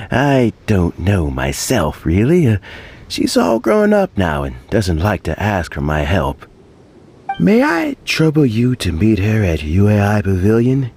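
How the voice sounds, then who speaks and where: A man's voice: fairly low pitch, wildly swooping pitch, slow pace, a little mellow, a little hiss or hum, full character voice. An actor playing a kindly, modest old statesman in a video game, chatty and chuckling. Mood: genial. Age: older.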